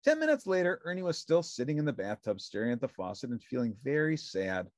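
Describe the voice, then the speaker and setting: A woman's voice: very deep voice, very animated, fast, dry recording, clean studio sound. A woman narrating a children's storybook aloud as the reader.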